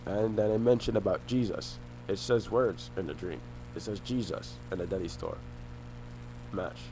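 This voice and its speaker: Halting, low-voiced man, rambling. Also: background noise. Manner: halting, repeats and restarts phrases. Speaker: vlogger. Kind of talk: rambling personal monologue.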